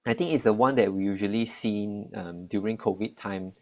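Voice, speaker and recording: Deep-voiced Malaysian Chinese man, hesitant. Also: fairly even intonation, moderate pace, lo-fi sound. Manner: hesitant, many fillers and restarts. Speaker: relief volunteer. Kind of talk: spoken talk.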